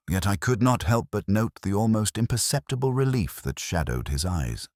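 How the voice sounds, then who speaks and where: A man reading a scripted first-person detective story in a measured, formal, literary manner. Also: rumbling low, no room sound, studio quality. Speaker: audiobook narrator.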